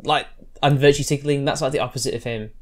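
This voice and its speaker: A man delivering sarcastic reaction commentary in a middle-pitched voice. His talk is loose and rambling, full of restarts, with a combative, dismissive edge. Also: steady tone, fast talker. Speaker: YouTube commentator. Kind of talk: reaction commentary rebutting read-out posts.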